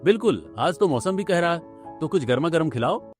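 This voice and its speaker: A man's voice: fairly high pitch, lively intonation, moderate pace, faint music behind, voice pushed up, natural voice. A man voicing a young, food-loving, easily pleased husband in a cartoon. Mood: eager. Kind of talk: cartoon dialogue.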